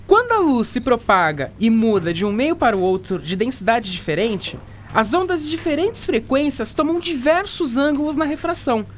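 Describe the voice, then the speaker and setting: Animated, high-voiced Brazilian schoolteacher played by a woman. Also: normal speed, few pauses, slight background noise. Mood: patient, explanatory. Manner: didactic, explanatory. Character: patient, encouraging male school science teacher. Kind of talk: scripted educational radio drama.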